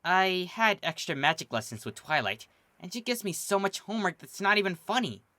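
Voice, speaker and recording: A fan reader voicing a young female unicorn filly who is flustered and defensive, speaking high and stammering. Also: expressive, a little fast, sharp tone, dry recording.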